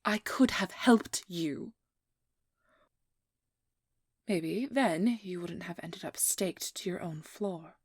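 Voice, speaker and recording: A woman playing a determined, exasperated vampire hunter with a guarded caring side, speaking softly and often in whispers in an intimate ASMR audio roleplay. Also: fairly low pitch, takes it slowly, dry recording, clean studio sound. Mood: exasperated, scolding. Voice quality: soft, breathy, often whispered.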